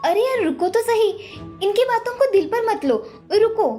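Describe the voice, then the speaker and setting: A woman voicing a brash, short-tempered young wife in an animated moral story. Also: very high-pitched, moderate pace, soft background music, voice pushed up. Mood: pleading.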